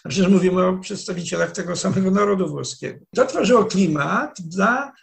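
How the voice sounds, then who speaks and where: An older man, a professor, explaining history in a measured, digressive way with frequent restarts, speaking over a video call from a wood-panelled study. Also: sits high, creaky. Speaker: professor, interview guest. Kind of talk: explanatory academic talk. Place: study with dark wooden shelves, indoors.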